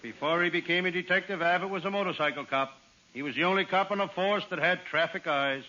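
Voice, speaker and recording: An actor playing a wisecracking hard-boiled private eye, delivering comic lines deadpan in 1940s radio style. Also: male voice, high-pitched, fast. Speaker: comic detective narrator. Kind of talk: 1940s radio comedy acting. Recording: dull old radio transcription with hiss.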